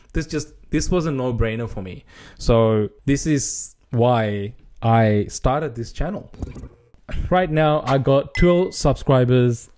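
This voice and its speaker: Sri Lankan man, soft-toned, hesitant vlog talk. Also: medium pitch. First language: Sinhala. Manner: hesitant, many restarts and fillers. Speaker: gaming channel creator. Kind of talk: casual vlog talk to camera.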